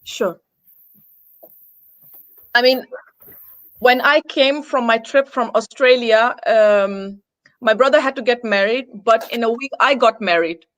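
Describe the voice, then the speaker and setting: Female interview guest from Denmark, fairly high voice, personal storytelling, dry sound. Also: takes it slowly, pauses often, fairly bright tone, dry recording. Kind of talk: personal account in casual interview.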